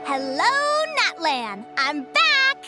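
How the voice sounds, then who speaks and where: A cheerful young heroine of a video game. Her voice sits high and swings widely with an earnest, determined optimism. Music plays clearly behind her. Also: drawn-out words, exaggerated voice. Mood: excited. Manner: earnest, perky, expressive.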